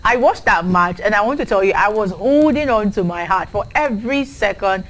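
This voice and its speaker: A Nigerian woman co-hosting a newspaper review, chatty and quick to laugh and agree. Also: mid-range voice, animated. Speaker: co-host.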